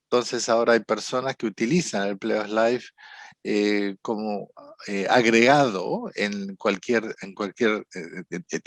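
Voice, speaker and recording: A man with an Argentine accent, a psychotherapist telling his story in an interview, reflective and halting, often restarting his sentences. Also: fairly low pitch, dry recording. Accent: Argentine Spanish. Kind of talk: conversational storytelling.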